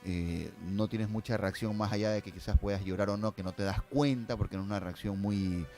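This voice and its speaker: A man's voice: lowish voice, steady tone, fairly quick, mellow, soft background music. A man speaking as a filmmaker guest in a casual interview, explaining and telling anecdotes, often tagging phrases with a questioning "no?".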